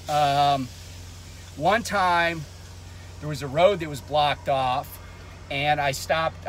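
A man's voice: on the high side, fairly bright tone, a little hiss or hum. A man in his fifties, a truck driver hosting his own vlog, rambling with many ums in a projecting voice from inside his parked truck cab. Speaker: truck driver vlogger, host. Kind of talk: casual talking-head vlog. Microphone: camera on the dash at arm's length.

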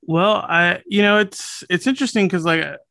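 A man in his late thirties from Los Angeles, a podcast guest talking in a relaxed, thoughtful way with many fillers and restarts. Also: high voice, normal speed, gravelly, no echo, clean studio sound. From Los Angeles, USA. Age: late 30s. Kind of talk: casual conversational interview. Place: home room, over a video call.

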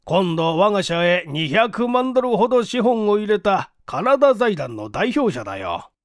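A narrator, a man, puts on a middle-aged male company president in an audiobook. He is composed and authoritative, with a raised, swooping pitch and a dry, close sound. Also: big pitch swings, moderate pace, no echo. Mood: explaining.

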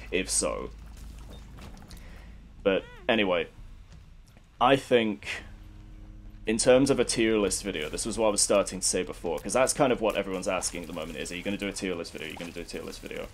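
A man's voice: low-pitched, gravelly, slight background noise. A young man with a congested, stuffy voice, rambling candidly and swearing casually as he commentates a game he is playing. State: congested from a cold. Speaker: gaming commentator.

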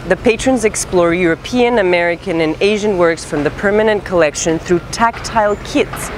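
A woman's voice: fairly low pitch, background noise. A woman in her thirties presenting scripted news items as a show host, in casual, slangy language. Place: city street, outdoors. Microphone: lapel mic. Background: music.

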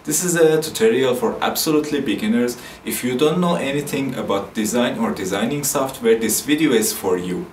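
A young man from Iran with an Iranian accent, hosting a software tutorial with plain step-by-step instructions. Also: mid-range voice, fairly quick, few pauses, slight background noise. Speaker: tutorial host. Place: dim room at a desk.

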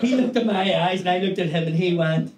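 A man in his thirties with a Northern Irish accent, doing a stand-up set in a small club, animated and swearing as he tells a story. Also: high voice, a little fast, crowd noise, noisy background. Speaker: stand-up comedian. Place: small comedy club stage with brick wall. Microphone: handheld stage mic. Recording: echoey club with loud audience laughter. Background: audience laughter.

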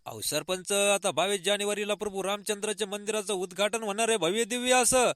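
A man's voice: high-pitched, a little fast, fairly bright tone, dry recording, studio quality. A man playing a devout, talkative, easily irritated villager in a comic cartoon, with a rural Maharashtrian accent and emphatic speech. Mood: devout, explaining.

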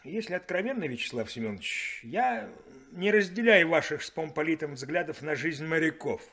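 An actor playing a defiant, sardonic ship's chief engineer in a 1970s radio play. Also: male voice, a little high, animated, moderate pace, fairly bright tone.

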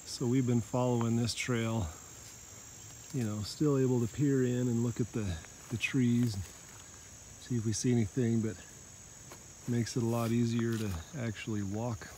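A man's voice: medium pitch, moderate pace. A man in his thirties chatting casually while walking in the woods, filming himself on a phone held at arm's length. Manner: relaxed, chatty, joking with his son. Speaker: vlogger and father. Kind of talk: casual outdoor vlog chat. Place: outdoors in summer woods. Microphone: handheld phone at arm's length. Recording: outdoor insect noise behind. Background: crickets and insects.

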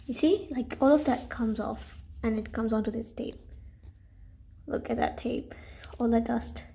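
A woman's voice: on the high side, measured pace, creaky. Chatty young woman in echoey room. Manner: chatty and instructive, dropping to quiet asides while working. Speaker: vlogger. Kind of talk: clean-with-me tutorial. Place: small carpeted room indoors. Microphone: camera at arm's length.

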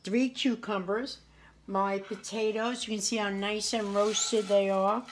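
A woman's voice: mid-range voice, fairly even intonation, measured pace, slight background noise. A woman in her forties talks through a recipe in her own kitchen, chatty and homey, recorded on a counter camera. Faint clatter of dishes and utensils sits behind her voice. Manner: chatty, homey, tasting and praising the food as she goes. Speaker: home cooking show host. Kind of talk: casual cooking demonstration. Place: home kitchen, indoors. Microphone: camera on the counter at arm's length.

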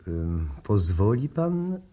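An actor playing a formal, guarded embassy diplomat, over-polite and unruffled, in a 1960s-style Polish radio drama. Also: male voice, fairly low pitch, sing-song, slow pace, warm tone.

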